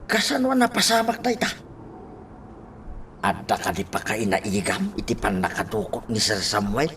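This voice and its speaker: An actor playing an inquisitive, excitable village husband in an Ilocano radio drama. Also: male voice, lowish voice, moderate pace. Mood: astonished, probing. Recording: faint background noise.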